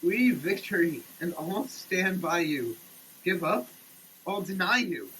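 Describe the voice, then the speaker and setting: A man giving a theatrical, declamatory dramatic reading, swinging between hushed delivery and shouting, as a comic performance. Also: sits high, lively intonation, slow pace, slight background noise, poor audio. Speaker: sketch comedy performer. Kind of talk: dramatic reading of a product label. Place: shower.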